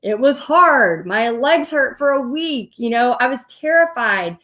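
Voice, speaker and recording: A middle-aged woman with a Texan accent, talking straight to her viewers like a coach on a casual livestream. Her voice sits high, and she chats along directly and laughs often. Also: lively intonation, measured pace, pushed and bright. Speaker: host teaching paint party business.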